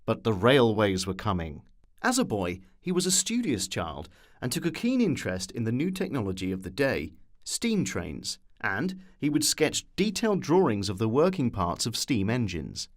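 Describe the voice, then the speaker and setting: A man reads scripted documentary narration. His pitch moves up and down a great deal as he tells the story, with a wry streak in the delivery. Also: wildly swooping pitch, normal speed.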